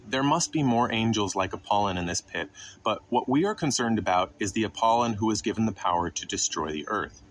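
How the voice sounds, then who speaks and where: A man's voice: on the low side, animated, fairly quick. A man narrating scripted Bible exposition in a didactic way, posing questions and then answering them. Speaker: Bible teacher narrating a podcast.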